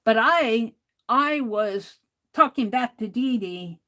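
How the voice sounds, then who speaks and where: Loud-voiced woman chatting to her stream. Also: fairly high pitch, expressive, takes it slowly. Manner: loud voice, rambling with restarts. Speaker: art livestream host. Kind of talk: casual livestream chat to viewers.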